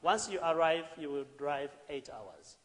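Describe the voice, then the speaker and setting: A man's voice: a little high, animated. Ugandan man speaking in an echoing hall. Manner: earnest, deliberate, with rising emphatic bursts. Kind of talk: public talk. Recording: echoey hall with long reverb.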